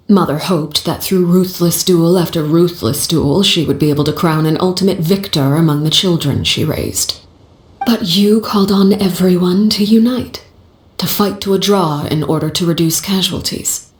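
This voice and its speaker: A woman voicing a composed, stern female leader who calls herself the children's father, speaking calmly and bluntly in a game cutscene. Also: fairly low pitch, echoey room, natural voice. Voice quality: calm, measured, blunt. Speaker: head of an orphanage house, the children's father. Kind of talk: video game cutscene voice acting.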